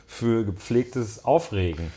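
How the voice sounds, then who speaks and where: A man co-hosting a podcast, a lawyer by profession, talking with dry, deadpan humor. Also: lowish voice, slow pace. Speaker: defense lawyer, co-host. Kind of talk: casual podcast chat. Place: indoor room with the host.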